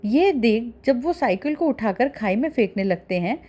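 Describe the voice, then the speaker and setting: High-pitched woman telling a children's story. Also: lively intonation, moderate pace, warm tone.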